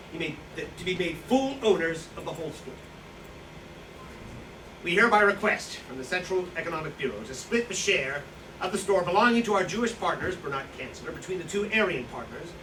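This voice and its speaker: An actor playing a boastful, hard-drinking Slovak profiteer who styles himself an outlaw, rambling and justifying himself in a staged reading. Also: male voice, fairly high pitch, lightly acted. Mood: boastful, smug. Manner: rambling, boastful, self-justifying, loses his thread. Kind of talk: staged play reading, monologue-like recollection. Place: indoor staged reading, distant microphone. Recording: echoey, noisy room recorded from far off.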